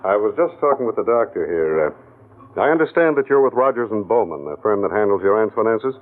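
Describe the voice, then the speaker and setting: Man as a deep-voiced, deliberate detective, tinny vintage radio. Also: animated, lightly acted. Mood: accusing. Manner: measured, with thoughtful "uh" pauses. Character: calm, probing male police lieutenant. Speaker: police lieutenant. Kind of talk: 1940s radio acting. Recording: tinny old radio broadcast.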